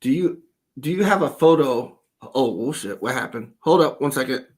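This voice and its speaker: A man's voice: mid-range voice, normal speed. A man hosting a casual livestream, talking loosely and animatedly as he breaks down video footage, with the odd curse. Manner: animated, loose storytelling with profanity.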